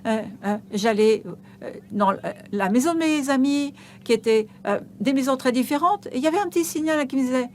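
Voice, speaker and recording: A woman giving a live interpretation of an on-stage conversation, halting, with frequent hesitations and restarts. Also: sits high, animated, darkish tone, a little hiss or hum.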